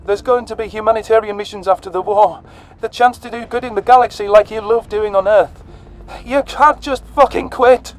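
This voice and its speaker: A man's voice: sits high, brisk, pitched above the performer's own voice, close to an everyday voice. A man voicing a panicky alien soldier, desperate and overcome with grief, in an audio drama. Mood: angry pleading. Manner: blubbering, screeching, desperate. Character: anguished, panicky male alien soldier grieving his human friend.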